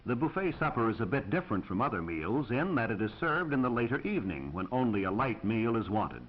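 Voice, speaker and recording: Formal american guy narrating an old 1940s how-to film, lively pitch, slightly husky, muffled soundtrack. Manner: formal, precise, instructive.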